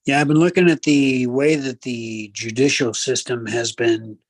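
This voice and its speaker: A man with a medium-pitched voice gives commentary as a radio co-host. He is measured and conversational, slipping into preacherly asides to his listeners. The recording is close and dry. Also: steady tone, dry recording. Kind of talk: casual talk show commentary.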